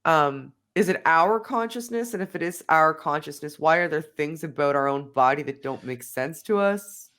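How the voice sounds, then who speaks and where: A woman's voice: low voice, expressive, normal speed, few pauses, fairly bright tone. A woman with a cold, a podcast guest who thinks aloud in casual conversation, hedging often with "like". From Australia. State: has a cold, sniffly. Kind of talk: casual conversation over drinks.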